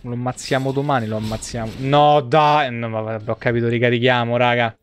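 A man's voice: mid-range voice, flat delivery, brisk. A young man giving casual live game commentary, muttering and swearing in exasperation to his viewers. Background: video game music.

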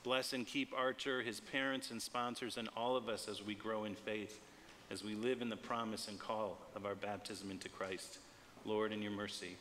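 A middle-aged man, a pastor, reading prayers in a measured, solemn and deliberate way. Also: lowish voice, sharp tone. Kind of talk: read liturgy and intercessory prayer. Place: church sanctuary, standing at the altar. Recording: long church reverb, clear background noise.

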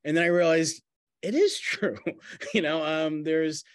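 A middle-aged man, a playwright and actor, reflecting casually in a halting, self-deprecating way on a video call. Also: on the high side, clear, resonant tone, dry recording, studio quality. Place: home room on a video call. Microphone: video call microphone.

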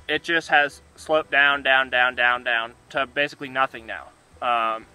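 A man's voice: on the high side, pushed and bright, slight background noise, rough recording. A young man, a farmer, talking earnestly to the camera outdoors by a pasture, repeating words as he goes. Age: young adult. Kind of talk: casual talk to camera. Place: outdoors by a pasture fence under a tree.

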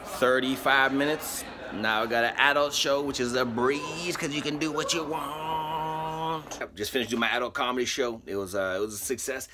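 A man's voice: mid-range voice, normal speed, hardly any pauses, background noise. A man in his thirties, a comedian, talking casually and jokingly to his phone camera, held at arm's length, aboard a cruise ship. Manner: relaxed, self-mocking, chatty. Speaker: comedian vlogger. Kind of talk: casual vlog. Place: cruise ship cabin and theater.

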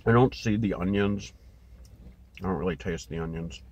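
A man's voice: low-pitched, moderate pace, muffled recording. A man in his thirties with an Upper Midwest accent, casually reviewing snack food from a parked car. He is a bit congested, fills gaps with ums, and chats while he eats. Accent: Upper Midwest American. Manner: relaxed, many filler ums, talks while eating. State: slightly congested. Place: parked car, indoors, small cabin. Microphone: phone on dash holder. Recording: phone in car with steady background noise.

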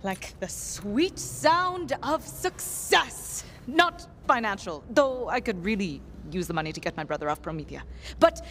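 A woman playing an idealistic, scatterbrained young scientist in a video game, earnest, excitable and given to questioning herself. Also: on the high side, slight background noise. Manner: rambling, self-questioning.

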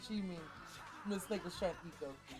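A man's voice: sits high, fairly quick, faint music behind, poor audio. A young man hosting a reaction video, chatting casually in a slangy, amused way. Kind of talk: casual chat reacting to a cartoon. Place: small furnished room at home, on a couch.

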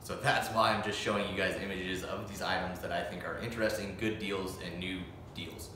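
A man's voice: low-pitched, steady tone, a little fast, noisy background. A young man in his twenties giving a casual, opinionated tool review, with lots of ums and restarts, filmed standing in a small empty room of a house. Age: 20s. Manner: chatty, opinionated, many "um"s and restarts. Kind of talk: casual product review vlog. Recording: echoey bare room.

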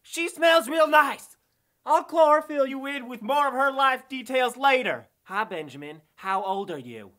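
A high-voiced female puppet character acted by a woman for a comic parody. She is chatty and forward, sweet on the surface and blunt underneath. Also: expressive, unhurried, a bit bright. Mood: sweetly forward. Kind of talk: sock puppet parody acting.

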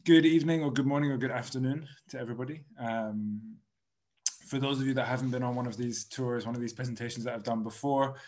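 A Scottish man in his thirties guides a live online tour from a small room at home. His mid-range voice stays relaxed and conversational, full of fillers and self-corrections. The sound comes through a webcam mic on a video call. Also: medium pitch, quick pace. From Scotland. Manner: relaxed, chatty, frequent fillers and restarts. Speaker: tour director. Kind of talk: casual virtual tour presentation.

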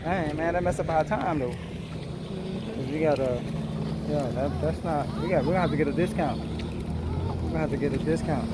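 A man's voice: on the high side, measured pace, long pauses. A man in his thirties, filming a casual vlog outdoors on open water with a handheld camera, hyping up his friends as he talks. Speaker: vlogger. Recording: loud wind and water noise.

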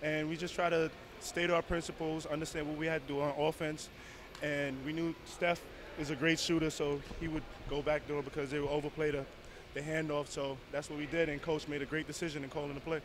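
A young college basketball player giving quick, matter-of-fact postgame answers in a gym. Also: male voice, on the high side, flat delivery, background noise. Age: young adult.

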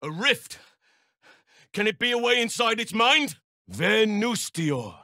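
An actor playing a fierce, righteous druid warrior in a fantasy video game, declaiming battle cries and incantations forcefully. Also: male voice, high voice, sing-song, slow, no echo, studio quality, full character voice. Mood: battle-charged.

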